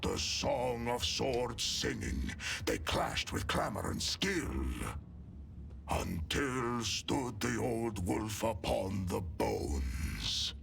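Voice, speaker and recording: Savage giant warrior, heavy gravel rasp, very slow saga-like delivery, game character, music underneath. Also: male voice, huge pitch swings, fairly bright tone, full character voice. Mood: solemn saga-telling. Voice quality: deep, guttural rasp. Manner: slow, declamatory, archaic poetic phrasing. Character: huge savage space marine warrior, proud, feral, poetic. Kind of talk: video game voice acting.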